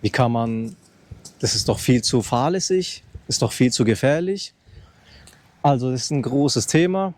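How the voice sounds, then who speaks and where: A man's voice: mid-range voice, lively intonation, measured pace, a little mellow. A man in his thirties, chatting casually outdoors on a handheld mic held close to his mouth, rambling and restarting his sentences. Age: 30s. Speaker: motorcycle vlogger. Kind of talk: casual unscripted vlog. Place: outdoors beside a loud motorcycle. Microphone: handheld mic raised close to the mouth.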